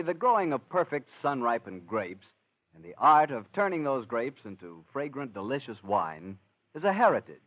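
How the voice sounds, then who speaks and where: A man's voice: mid-range voice, fairly bright tone, lo-fi sound. An American man working as a radio announcer and host in 1940s style, with a polished, salesmanlike delivery.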